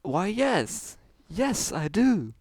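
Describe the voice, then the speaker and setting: A boastful, eager female pony magician from a fan storybook, acted out by a male reader. The voice sits high above a normal male register, with a grand, showy delivery. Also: sing-song, extremely slow, darkish tone, no room sound, heavily acted. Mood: proud.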